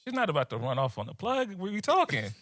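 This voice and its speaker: Animated man telling a story. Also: high voice, quick pace, no echo, clean studio sound. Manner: animated, laughs often, drops to quiet voices when quoting others. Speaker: podcast co-host telling a story. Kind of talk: casual storytelling chat.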